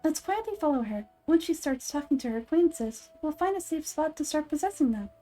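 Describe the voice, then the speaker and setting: A woman plays a small, wise girl deity from a fantasy game. She speaks gently and earnestly in a high, mellow voice, measured and calm, over faint music. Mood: quietly planning.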